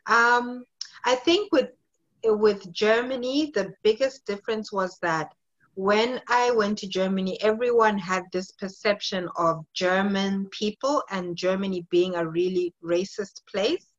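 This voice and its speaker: A woman's voice: medium pitch, a little slow, lo-fi sound. A woman in her late thirties from Zimbabwe, a fitness coach answering interview questions in a friendly, thoughtful way with some hesitation. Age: 30s.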